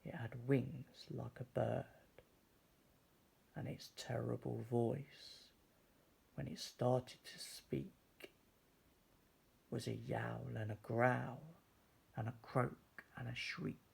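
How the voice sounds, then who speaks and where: A man reading a children's story aloud as storyteller, deliberately and with dramatic pauses. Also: medium pitch, level tone, vocal fry, a little mellow, slightly rough.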